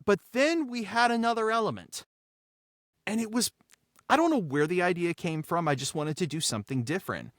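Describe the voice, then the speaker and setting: A man's voice: sits high, animated, a little fast, fairly bright tone, dry recording, studio quality. A man chatting casually and enthusiastically as a podcast creator, effusive and repeating phrases for emphasis. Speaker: show creator and writer. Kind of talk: casual behind-the-scenes podcast talk.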